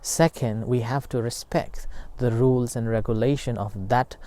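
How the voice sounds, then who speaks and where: A middle-aged man speaks as a meditation teacher in a warm, mellow voice. His comes slowly, full of pauses and restarts, as he reflects aloud. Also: mid-range voice, steady tone. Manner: halting, many fillers and restarts. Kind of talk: unscripted dharma talk.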